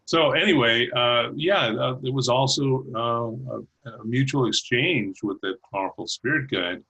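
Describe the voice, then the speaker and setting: A man speaking as a guest over a video call, talking haltingly with many restarts and light laughs. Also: medium pitch, pushed and bright, breathy edge, lo-fi sound. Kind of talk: casual chat.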